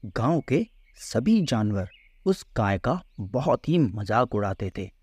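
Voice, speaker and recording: A man's voice: mid-range voice, big pitch swings, slow, a little mellow, husky, dry recording. A man narrating a scripted children's story as a storyteller in a close voice-over.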